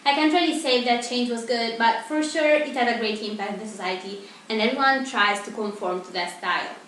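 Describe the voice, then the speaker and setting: A woman's voice: on the high side, a little fast, fairly bright tone. A young woman, sounding like a student presenter, delivers a scripted presentation as a mock TV broadcast host. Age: teenager or young adult. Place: echoey indoor room. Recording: very echoey room, distant mic, faint noise.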